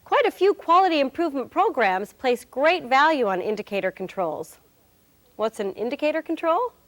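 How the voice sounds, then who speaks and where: A woman's voice: high-pitched, sing-song, normal speed. A woman acting as a wry, cheerful presenter, giving scripted instruction in a corporate training film. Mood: instructive, playful.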